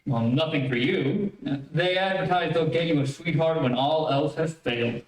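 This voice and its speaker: A man's voice: a little high, moderate pace, lightly acted. A man playing an exasperated, coaxing father in old-time radio comedy, with a placating, flustered manner. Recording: echoey, distant, faintly noisy stage stream.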